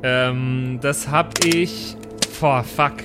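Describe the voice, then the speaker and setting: A man's voice: mid-range voice, fairly even intonation, very slow. A man improvising in-character role-play and table talk as a player, informal and prone to stumbling over his words. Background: background music.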